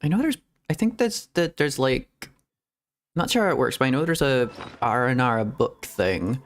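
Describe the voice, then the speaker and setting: A man's voice: medium pitch, animated, moderate pace. A man streaming a video game, chatting and rambling casually and laughing often. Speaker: VTuber streamer. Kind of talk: casual gameplay stream commentary. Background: faint game music.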